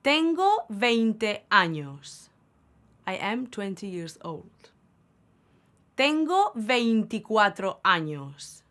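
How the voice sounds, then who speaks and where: A woman hosting a beginner Spanish lesson, saying each word slowly and clearly, then repeating it for learners. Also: mid-range voice, sharp tone. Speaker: Spanish teacher, channel host.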